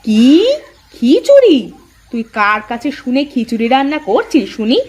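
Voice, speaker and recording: A woman's voice: fairly high pitch, big pitch swings, normal speed, darkish tone, breathy edge. A woman voicing a bossy, easily offended elder daughter-in-law in a Bengali cartoon story.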